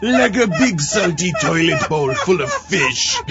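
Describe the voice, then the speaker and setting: A man playing a drunken, scheming, excitable pirate captain in a comic audio drama, gravelly and theatrical. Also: high voice, highs cut off, very noisy, exaggerated voice. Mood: amused. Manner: theatrical, swooping, pirate-talk phrasing.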